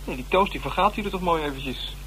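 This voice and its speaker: A man's voice: on the high side, fast talker, a bit bright, lightly acted. A man in a Dutch radio drama playing an eager, blunt colleague with a colloquial way of talking. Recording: noisy old radio drama recording.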